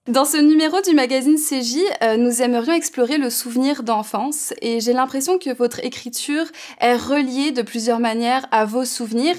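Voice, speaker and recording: A woman with a Québécois French accent interviewing an author, reading polished prepared introductions and questions. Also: fairly high pitch.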